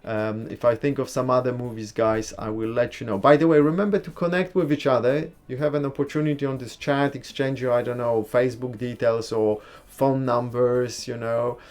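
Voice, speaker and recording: A man from Poland, hosting a casual live stream, reading and answering viewers' comments in a relaxed, chatty way and giving blunt opinions. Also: medium pitch, normal speed, few pauses. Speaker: livestream host.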